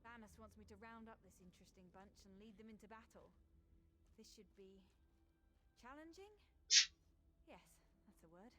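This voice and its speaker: Woman playing a wry fantasy ally, medium pitch. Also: animated, moderate pace, pauses often, close to an everyday voice. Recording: faint ambient game noise behind.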